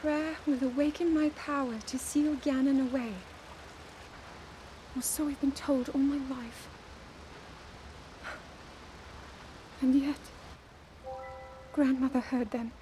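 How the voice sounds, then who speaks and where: A young woman voicing an earnest young princess who is burdened by duty and doubts herself, speaking softly in a game cutscene. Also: high-pitched, fairly even intonation, a little slow, pauses often, slight background noise, poor audio. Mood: anguished. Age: young adult. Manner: soft, pleading, searching. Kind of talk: video game cutscene voice acting.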